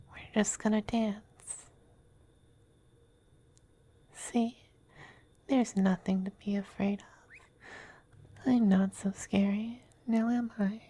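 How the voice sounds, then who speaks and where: A close, dry, mellow voice for a tender demon femboy played by a woman in an ASMR roleplay. He speaks slowly and softly, slipping into breathy whispers. His manner is gently coaxing and hesitant, lovingly possessive. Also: medium pitch, no echo. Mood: soothing, coaxing.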